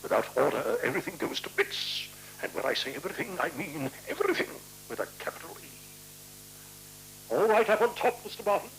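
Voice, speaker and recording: A man's voice: on the high side, sing-song, fairly quick, gravelly, fairly bright tone, full character voice, poor audio. An actor playing a chatty, order-obsessed British man in a 1970s radio play, fussy and colloquial. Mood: fussy, emphatic. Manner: fussy, colloquial, hesitant asides. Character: chatty, order-obsessed, matey man organizing shared lodgings. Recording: hissy, humming 1970s radio recording.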